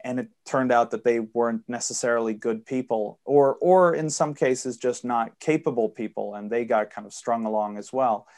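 A man's voice: medium pitch, normal speed, few pauses, darkish tone. A man from Sweden with a Swedish-American accent, a guest on a podcast interview, explaining at length and fluently with frequent fillers. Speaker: guest investor.

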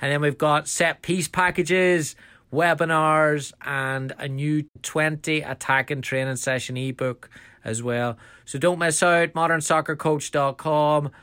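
A man hosting a podcast, reading a brisk promotional plug for his website. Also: a little high, steady tone, fairly bright tone, smooth, no room sound. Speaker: podcast host. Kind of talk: promo read.

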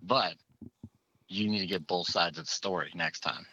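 A father calling in to a show and telling his story in an earnest, halting way. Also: deep voice, bright, forceful tone, dry recording. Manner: earnest, halting, repeats words. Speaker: caller, parent. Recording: muffled phone line.

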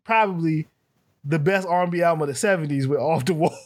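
A man co-hosting a casual music podcast, animated and laughing often as he acts out quotes. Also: on the high side, brisk. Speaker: podcast co-host. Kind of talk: casual chat.

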